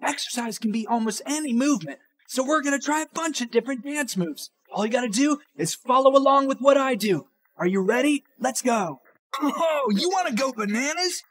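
An excited man in his thirties presenting a children's show with energetic, exclamatory delivery. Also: very high voice, a little fast.

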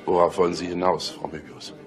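An actor in a German radio drama playing a wry, exasperated police chief. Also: male voice, sits low, flat delivery, a little fast, faint music behind, close to an everyday voice. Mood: guarded, dry.

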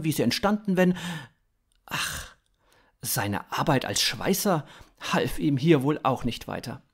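A man in his thirties narrating scripted fiction in a dramatic, expressive way. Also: on the high side.

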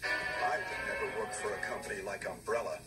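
A man's voice: on the low side, fairly even intonation, a little fast, close to an everyday voice. A man playing an earnest young action-hero cop in a cheesy nineties horror game, with stilted, overemphatic delivery. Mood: accusing. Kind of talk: cheesy 1990s video game acting. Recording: game audio under music.

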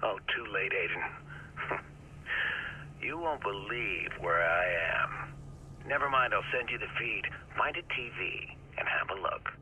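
An actor in a video game playing a smug, middle-aged hacker mentor turned villain, theatrical, taunting and gloating. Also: male voice, lowish voice, animated, unhurried, long pauses, pushed and bright, slight background noise, full character voice, low-quality recording.

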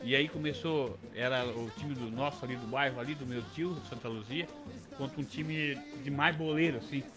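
A man's voice: mid-range voice, moderate pace, music in the background. A man in his fifties with a southern Brazilian accent telling stories casually on a podcast, emphatic and repetitive. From Criciúma, southern Brazil. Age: 50s. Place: small indoor podcast studio with several people at a table. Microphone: close studio mic on boom arm.

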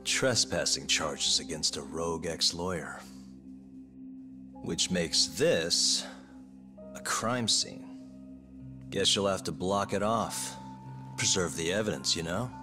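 Low-voiced, cocky male detective. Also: slow, long pauses. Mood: cocky, sardonic. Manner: laid-back, sardonic, probing. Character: cocky, defiant male ex-lawyer turned detective. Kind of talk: video game cutscene drama. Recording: music under the dialogue.

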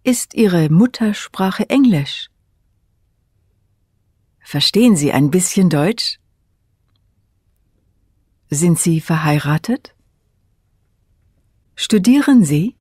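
A woman reading example words and questions with careful, deliberate pronunciation for learners. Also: on the low side, animated, dark tone, breathy edge, dry recording, clean studio sound. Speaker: course speaker reading example sentences. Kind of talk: slow scripted model reading.

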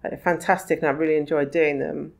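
Gravelly-voiced chatty woman. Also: low-pitched, fairly even intonation, a little fast, darkish tone. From England. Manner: chatty, laughs often, trails off in restarts. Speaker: magazine publisher, guest. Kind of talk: casual interview chat.

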